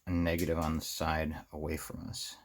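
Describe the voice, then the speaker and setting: An American man giving a casual, unscripted hands-on tutorial, thinking aloud in a halting, rambling way as he works. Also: very deep voice, monotone, slight background noise. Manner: halting, rambling, talks to himself while working. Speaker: host of a hobby electronics how-to series.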